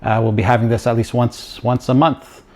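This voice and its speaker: Measured, reflective man from Ottawa. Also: medium pitch, level tone, darkish tone. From Ottawa, Canada. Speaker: podcast co-host. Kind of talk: casual live podcast chat.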